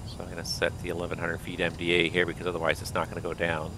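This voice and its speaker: Low-voiced man thinking aloud in cockpit. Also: completely flat delivery, racing, background noise. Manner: thinks aloud, calls out checklists. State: losing his voice. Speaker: flight simulator pilot. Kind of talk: casual cockpit commentary.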